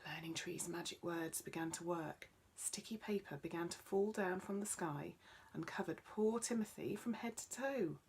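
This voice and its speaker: A woman storyteller narrating a bedtime story for children in an expressive style. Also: fairly low pitch, normal speed, a little hiss or hum.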